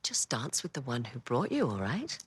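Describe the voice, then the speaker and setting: An actress playing a sly, pragmatic treasure hunter, cool and teasing, for a video game. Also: female voice, very deep voice, wildly swooping pitch, normal speed, slightly rough, exaggerated voice. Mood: exasperated. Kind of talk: naturalistic video game cutscene acting. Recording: faint background noise.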